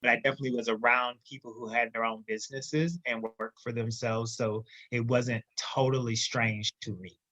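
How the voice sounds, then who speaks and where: A man telling his story as a podcast guest, emphatic and lively. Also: medium pitch, moderate pace, hardly any pauses, no echo, low-quality recording. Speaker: guest entrepreneur. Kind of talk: casual interview storytelling.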